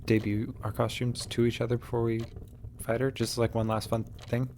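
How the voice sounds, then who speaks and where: A man's voice: medium pitch, flat delivery, fairly quick, darkish tone. A man playing a tabletop game, talking casually in character with the others at the table. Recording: faint background room noise.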